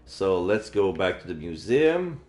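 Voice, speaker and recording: Male game streamer, lower register, reading story text aloud between playthrough remarks; echoey room, faint music behind. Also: sing-song, unhurried, darkish tone.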